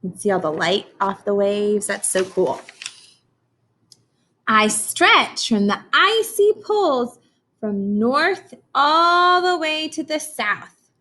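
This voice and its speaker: An American woman reads a picture book aloud for young children in a medium-pitched voice. She sounds like a teacher, instructional and simple in her wording, turning to her listeners with prompts and questions. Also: fairly bright tone.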